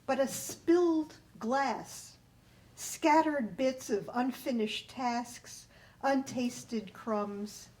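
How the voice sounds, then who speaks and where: A woman reading a poem aloud with deliberate, measured phrasing. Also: on the high side, warm tone, low-quality recording. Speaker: writer reading own poem. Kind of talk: poetry reading.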